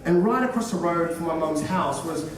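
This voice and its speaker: A young Australian man with an Australian accent performing an animated stand-up comedy set, slipping into voices of the people in his stories. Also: a little high, moderate pace, a crowd in the background. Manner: animated storytelling, mimics voices of mum and others. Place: large hall with a live audience. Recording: echoey hall, miked from far off, audience.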